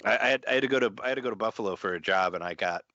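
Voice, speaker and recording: Deep-voiced man in casual podcast chat. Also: rapid-fire, sharp tone, dry recording, lo-fi sound. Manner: chatty, repeats words as he goes. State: recovering from an illness, voice mostly back. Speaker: podcast guest.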